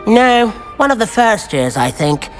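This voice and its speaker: A man's voice: high voice, very animated, measured pace, faint music behind. A man with a British accent playing a friendly, chatty, easy-going teenage schoolboy in a video game, earnest in manner. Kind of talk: video game character dialogue.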